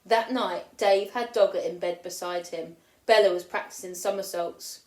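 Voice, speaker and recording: A woman teacher reading a children's picture book aloud with expressive storytelling, lifting her voice for the children's lines. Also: on the low side, normal speed. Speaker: primary school teacher. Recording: roomy echo.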